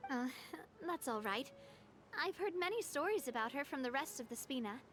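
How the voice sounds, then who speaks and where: A self-assured young heiress. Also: female voice, high voice, expressive, moderate pace, fairly bright tone, a little hiss or hum. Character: confident young woman, heiress leading a family group. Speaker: leader of a family organization. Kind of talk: game cutscene voice acting. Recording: game audio with faint music.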